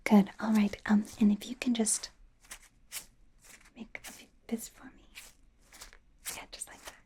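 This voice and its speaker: A woman's voice: mid-range voice, level tone, measured pace, a little hiss or hum, lightly acted. A woman playing a nervous, friendly female werewolf doctor in a whispered ASMR roleplay, hesitant, stammering and apologetic. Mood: gentle, apologetic. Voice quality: soft, breathy whisper.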